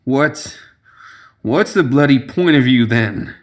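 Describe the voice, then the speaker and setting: A man playing a drugged, dying man in a storybook, slurring his words in halting desperation. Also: mid-range voice, lively intonation, pitched above the performer's own voice. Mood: desperate anger.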